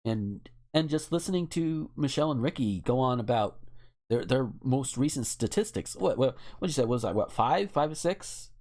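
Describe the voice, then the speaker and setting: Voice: medium pitch, expressive, normal speed, darkish tone. An American podcast host talking casually and bluntly, with emphatic opinions and swearing. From United States.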